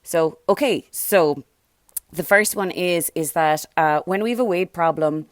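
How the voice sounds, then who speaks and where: Hoarse, chatty woman podcaster. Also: on the low side, measured pace, no echo, clean studio sound. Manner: chatty, rambling, lots of fillers and tangents. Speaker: podcast host. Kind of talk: casual solo podcast chat.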